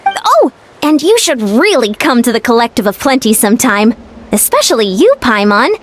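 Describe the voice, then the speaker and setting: A woman's voice: fairly high pitch, big pitch swings, normal speed. A woman playing a confident, friendly, fitness-minded warrior in a video game, upbeat and coach-like. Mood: encouraging, upbeat. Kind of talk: video game cutscene acting.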